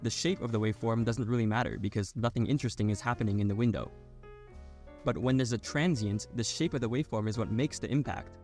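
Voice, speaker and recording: A man narrating a scripted technical explainer in a clear, step-by-step manner. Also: medium pitch, fast talker, darkish tone, faint music behind. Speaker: video tutorial narrator.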